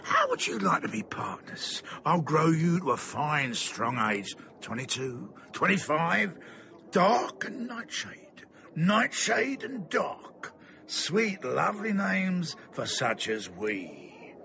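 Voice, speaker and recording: A man's voice: a little high, sing-song, slow, vocal fry, pushed and bright, muffled recording, a little hiss or hum, exaggerated voice. A man playing a menacing, silky carnival master in a radio drama, theatrical and taunting, savouring each word. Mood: silky, tempting.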